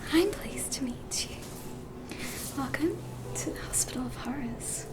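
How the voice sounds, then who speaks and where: Aussie woman doing asmr whisper, high and slow, polite reassuring nurse receptionist at a horror hospital. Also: low-quality recording. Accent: Australian. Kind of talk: scripted ASMR roleplay.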